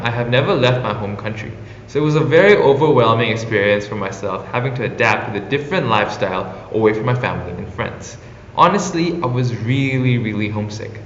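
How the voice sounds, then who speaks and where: A man's voice: medium pitch, fairly bright tone, a little hiss or hum. A young man from Indonesia with an Indonesian accent, earnestly reading a prepared personal reflection on his exchange internship. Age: young adult. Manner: earnest, reads from a prepared script. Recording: roomy, echoey, slightly dull sound.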